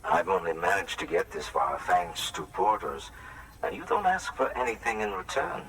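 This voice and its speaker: Guarded recluse with swooping, low-leaning voice. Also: male voice, lively intonation, normal speed, a bit bright, far from the mic, rough recording. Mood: grateful. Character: guarded, reclusive male shelter dweller, grateful but distrustful. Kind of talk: video game dialogue.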